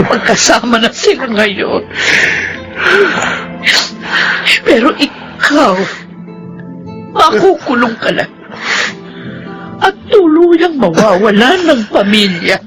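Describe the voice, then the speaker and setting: A woman's voice: a little high, sing-song, slow, pauses often, over music. An actress with a Filipino accent playing a grieving wife and mother, accusing and scolding in anguish in a radio drama. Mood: bitter grief.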